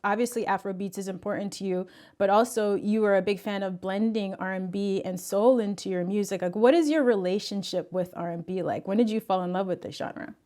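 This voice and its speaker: Casting: female interviewer, 30s, from the US, mid-range pitch, conversational hosting on a close mic. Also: fairly quick, few pauses, dark tone. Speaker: music show host, interviewer. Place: indoor home room, video call. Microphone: close desk microphone.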